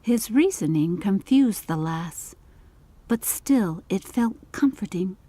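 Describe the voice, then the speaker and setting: A woman narrating a scripted audiobook story in a measured, deliberate, literary manner. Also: medium pitch, animated, warm tone, husky, no echo.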